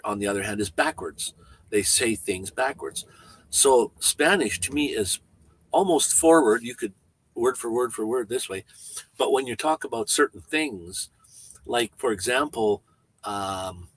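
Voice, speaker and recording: A man around seventy with a Canadian accent, chatting casually on a livestream, rambling and halting with frequent restarts. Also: mid-range voice, lively intonation, slightly rough, poor audio. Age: 70s. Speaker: van-life vlogger.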